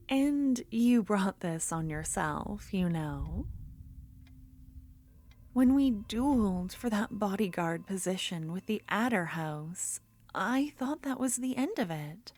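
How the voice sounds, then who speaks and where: A woman playing an obsessive, menacing yet tender duelist rival, bitterly infatuated, in an intimate, halting ASMR fantasy roleplay. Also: mid-range voice, a little mellow.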